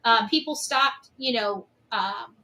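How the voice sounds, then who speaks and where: A woman with a high, forceful, bright voice speaks off the cuff as an opinionated commentator. Her delivery is emphatic and accusatory, and she repeats phrases to drive her points home. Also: slow pace, slight background noise. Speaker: online commentator on a case. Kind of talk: opinionated unscripted monologue.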